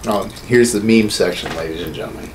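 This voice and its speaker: A man's voice: lowish voice, quick pace, background noise. A man in his thirties chatting casually as a podcast co-host, irreverent and swearing heavily. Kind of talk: casual chat. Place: small home studio room, indoors.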